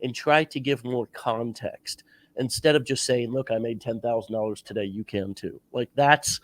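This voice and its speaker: A man's voice: mid-range voice, moderate pace, dry recording. Stuffed-up man with a sore throat. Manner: rambling, repeats words while thinking. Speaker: podcast host interviewing a guest. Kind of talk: casual interview chat.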